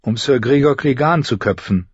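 A man's voice: normal speed, slightly rough, dry recording, lo-fi sound, natural voice. A man voicing a haughty young noble girl in a storybook, petulant and indignant. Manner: blasé, indignant, shrill when upset.

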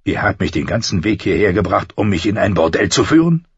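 A grave, stern nobleman in a fantasy audiobook , voiced by a man. His voice is deep and very husky. He questions gravely, in a slightly dull recording. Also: very animated, a little fast, no echo, full character voice. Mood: angry. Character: stern noble lord, bewildered husband, quick to anger.

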